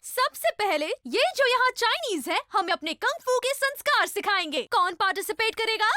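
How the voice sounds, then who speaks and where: A woman's voice: extremely high pitch, lively intonation, normal speed, heavy background noise. A woman playing a prim, preachy cartoon prison official who proclaims her sugary good intentions. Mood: preachy, cheerful. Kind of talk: Hindi-dubbed cartoon acting. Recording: loud music behind the voice.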